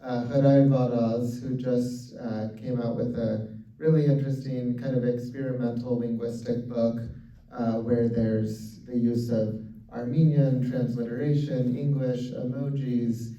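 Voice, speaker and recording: A man's voice: mid-range voice, flat delivery, unhurried, warm tone. Man making event announcements in a hall. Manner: casual, many fillers, upbeat. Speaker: event organizer and host. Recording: echoey hall, distant muffled mic.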